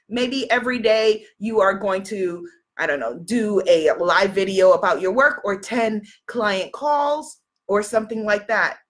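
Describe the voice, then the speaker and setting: A woman's voice: a little high, a bit bright. A woman hosting a live stream as a spiritual coach, reading out viewer comments and leading affirmations while sniffling from a cold. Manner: encouraging, repeats affirmations, reads out viewer comments. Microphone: live video stream.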